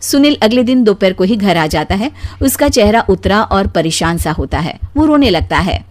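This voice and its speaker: A woman narrating a scripted animated story as its storyteller. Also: medium pitch, moderate pace.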